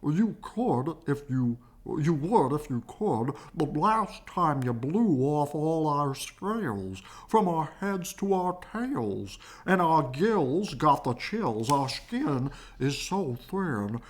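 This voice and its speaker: A woman voicing a timid storybook fish with earnest, drawn-out pleading. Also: sits low, very animated, pitched above the performer's own voice, heavily acted.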